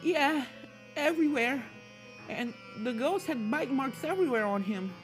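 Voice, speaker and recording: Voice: high voice, lively intonation, a little slow, faint music behind, voice pushed up, poor audio. A narrator voicing a nervous, timid young pony filly in a fan fiction story reading, speaking politely and hesitantly. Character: Apple Bloom, nervous young filly ghost hunter.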